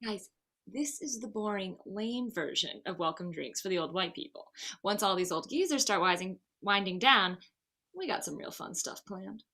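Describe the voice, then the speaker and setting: A woman's voice: medium pitch, expressive, normal speed, bright, forceful tone. A woman acting in a table read as a playful, upbeat, party-loving young bride with a breezy, teasing way of talking. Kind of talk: casual screenplay table read.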